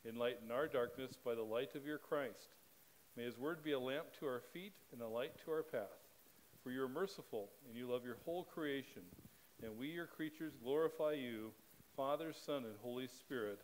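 A middle-aged man leading a spoken liturgy as a pastor, reading in a measured, steady cadence at a church pulpit microphone. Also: mid-range voice, a little hiss or hum, rough recording.

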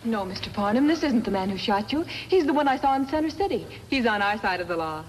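Woman playing an eager high-voiced young lady reporter. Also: expressive, fast, natural voice. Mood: reassuring. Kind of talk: 1950s radio western acting. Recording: old radio recording, faint noise.

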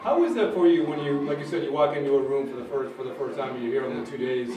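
Male reporter, quick, higher voice, heard from a distance in a very echoey room. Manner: rambling, conversational questions. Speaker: sports reporter. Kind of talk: post-game press questions.

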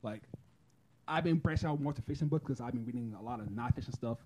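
A man's voice: mid-range voice, quick pace, background noise. A man co-hosting a casual podcast chat, rambling in a laid-back, profane way, streamed live from a phone. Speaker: podcast co-host. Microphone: phone livestream.

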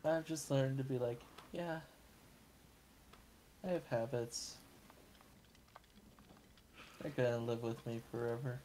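Booming-voiced man streaming a game. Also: steady tone, slow pace, long pauses, warm tone, a little hiss or hum. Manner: rambling, swears casually. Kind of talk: casual gaming stream chat.

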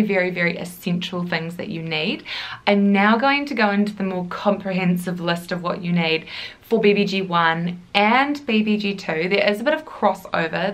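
A woman's voice: lowish voice, fairly bright tone. Young New woman, chatty and upbeat, to-camera home vlog. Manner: chatty, upbeat, addresses viewers directly. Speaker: fitness vlogger. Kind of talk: casual talking-to-camera vlog. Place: living room at home.

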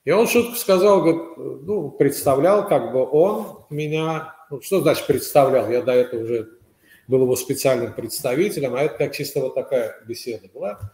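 A man's voice: medium pitch, expressive, darkish tone. Older man, hesitant, echoey room. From Azerbaijan. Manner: measured, hesitant storyteller. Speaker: guest, former foreign minister. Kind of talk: casual interview over video call. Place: room at home, indoors. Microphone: webcam on video call. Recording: echoey room over webcam.

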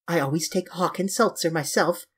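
A man voicing a flippant, petulant young English gentleman with an airy, affected, dismissive manner in an audiobook story. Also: fairly high pitch, moderate pace, slightly rough, pitched above the performer's own voice.

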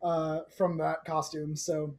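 A man's voice: fairly high pitch, little pitch movement, slow, a little mellow. A young man, a voice actor, casually explaining himself on a group video call. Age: young adult. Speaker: voice actor in a cast Q&A.

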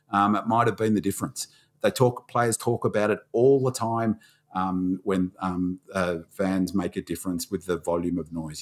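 An Australian man with a croaky, lower-pitched voice, talking on a podcast. He is fired up and ranting, and his words tumble out in bursts. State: croaky from screaming. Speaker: podcast panelist. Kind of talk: casual sports podcast chat.

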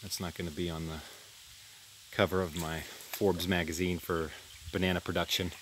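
A man giving a relaxed, rambling tour of his farm by a creek, filmed on a handheld camera outdoors. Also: low voice, normal speed, slight background noise. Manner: rambling, relaxed, self-deprecating with restarts. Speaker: farm vlogger. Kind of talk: casual walk-and-talk farm tour.

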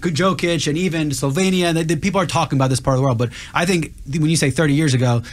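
A man's voice: a little high, fast. A man co-hosting a radio talk show in a studio, playful and self-deprecating, often cutting in. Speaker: co-host. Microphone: studio boom mic.

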